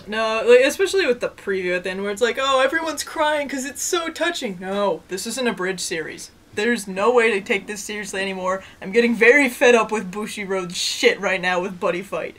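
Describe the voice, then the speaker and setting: A man's voice: high voice, fairly quick, few pauses, fairly bright tone. A young man co-hosting a casual rant, bantering in a blunt and sarcastic way. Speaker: review show co-host.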